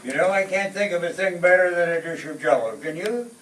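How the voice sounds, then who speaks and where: A man's voice: high-pitched, animated, brisk, fairly bright tone. A man playing a genial neighbor in a 1940s radio comedy. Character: genial neighbor man welcoming trick-or-treaters. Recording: echoey hall, distant, noisy.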